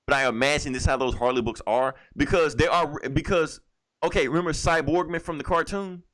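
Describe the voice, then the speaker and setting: A man's voice: fairly high pitch, brisk, a bit bright, no room sound. A man hosting a comic book podcast, chatting casually through a review in blunt, slangy, profane language.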